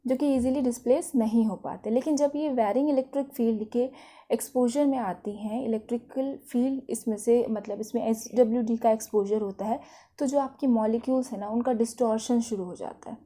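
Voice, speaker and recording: Higher-pitched Indian woman lecturer with mellow tone. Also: brisk. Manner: didactic, often checks understanding with short tag questions. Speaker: physiotherapy lecturer. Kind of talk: explanatory lecture mixing in English terms.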